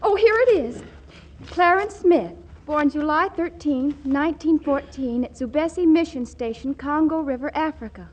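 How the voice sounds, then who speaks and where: Casting: woman as overwrought teenage girl, piercingly high, tearfully dramatic, old-time radio comedy. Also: normal speed, darkish tone, close to an everyday voice. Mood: eager, reading aloud. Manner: melodramatic, tearful. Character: excitable, lovelorn, wailing teenage daughter. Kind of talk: 1940s radio comedy acting. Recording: old 1940s radio broadcast.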